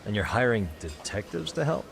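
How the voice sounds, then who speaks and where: An actor playing a cool, level-headed private detective in a video game, asking direct questions in a casual way. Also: male voice, lowish voice, very animated, moderate pace, gravelly, fairly bright tone, heavily acted. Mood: probing. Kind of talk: video game cutscene acting.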